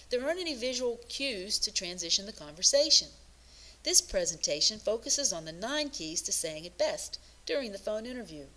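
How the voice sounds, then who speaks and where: A woman narrates a scripted career lesson as a coach and presenter. Her pitch sits in the middle range for a woman. She speaks in a measured, instructive way, like someone walking listeners through prepared points. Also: a bit bright, low-quality recording.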